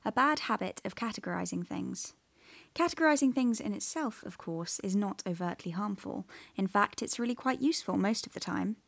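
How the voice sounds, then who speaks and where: A woman's voice: medium pitch, no room sound. A woman hosting a podcast, moving between a scripted introduction and interview talk. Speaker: podcast host.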